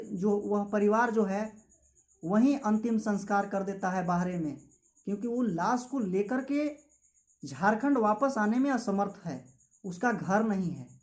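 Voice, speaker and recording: A young man with a Jharkhand Hindi accent giving an impassioned political speech as a student activist, with repetitive rhetorical phrasing. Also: sits high. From Jharkhand, India. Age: young adult.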